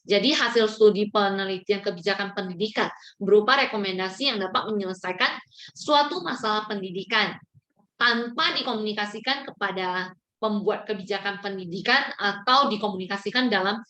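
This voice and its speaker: A woman's voice: medium pitch, brisk, sharp tone. A woman giving a formal, didactic lecture-style explanation, as if working from notes. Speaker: lecturer presenting on education policy research.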